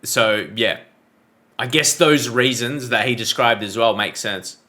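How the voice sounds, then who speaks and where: A man's voice: mid-range voice, measured pace. A man from Australia with an Australian accent, hosting a reaction video and commenting casually with many fillers. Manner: relaxed, hesitant, with many fillers.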